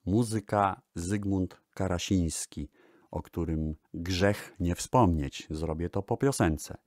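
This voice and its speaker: A man presenting music history in a radio style, speaking deliberately with pauses and hesitations. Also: deep voice, a little mellow, no echo, studio quality.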